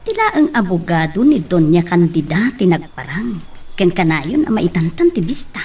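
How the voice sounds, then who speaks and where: A woman acting in a radio drama as a lively, concerned daughter. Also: on the low side, normal speed, husky, distant mic, soft background music, exaggerated voice. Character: lively, concerned daughter talking with her father.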